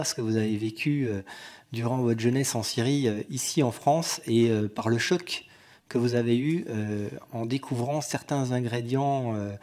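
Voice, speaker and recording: A man serving as rapporteur at a parliamentary hearing, questioning a witness in a measured way with frequent fillers. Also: medium pitch, a little mellow. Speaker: committee rapporteur. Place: parliamentary committee room.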